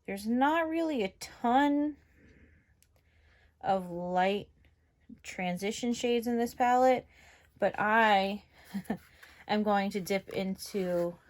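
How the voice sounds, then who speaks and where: Young woman from New York, northeastern American accent, chatty rambling makeup vlogger, medium pitch. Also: slow, pauses often. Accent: general northeastern American. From New York, USA. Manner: chatty, rambling, lots of fillers. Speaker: beauty vlogger. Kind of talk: casual makeup tutorial chat.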